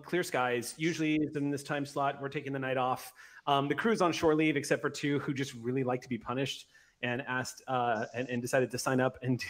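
A man in his thirties casually hosting a tabletop roleplay livestream as game master. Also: mid-range voice. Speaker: game master and stream host. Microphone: desk mic on video call.

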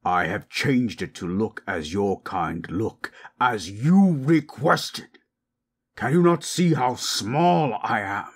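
A man voicing a proud, possessive forest monster in human shape, gravelly and husky, speaking in stilted, imperious phrases for an audio roleplay. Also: lowish voice, lively intonation, exaggerated voice. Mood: defiant. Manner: slow, imperious, formal. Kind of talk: dramatic audio roleplay, stilted formal speech.

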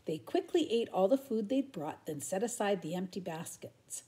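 Lively older woman reading a storybook. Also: medium pitch, normal speed. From Canada. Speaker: storybook reader. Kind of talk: expressive children's storybook reading. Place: room at home.

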